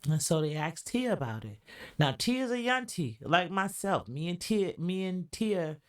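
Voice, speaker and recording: A woman with a deep, low voice hosts a podcast and chats through a reaction, qualifying her opinions as she goes. her delivery is relaxed and conversational, with plenty of asides. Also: unhurried. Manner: chatty, hedging with "allegedly" and "in my opinion". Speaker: podcast host. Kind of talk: casual reaction commentary.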